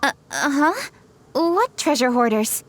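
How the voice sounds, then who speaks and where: A woman's voice: high-pitched, very animated, unhurried, very noisy. A woman playing a capable, composed young noblewoman in a video game, with a sheepish, apologetic streak. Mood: startled. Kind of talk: video game dub acting.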